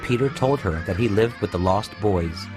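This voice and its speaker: Man, storybook narrator, low-pitched, scripted children's tale, faint music behind. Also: normal speed.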